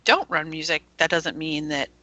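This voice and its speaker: A woman's voice: deep voice, normal speed, bright, forceful tone, dry recording. A woman who runs an internet radio station, chatting as a guest with frequent laughs and plenty of enthusiasm. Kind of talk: casual interview chat.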